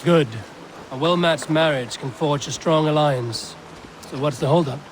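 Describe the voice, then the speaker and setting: An actor playing a blunt, steadfast Viking raider in a video game, with a measured, commanding manner. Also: male voice, on the high side, slight background noise, close to an everyday voice. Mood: firm, persuasive. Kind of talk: video game cutscene acting.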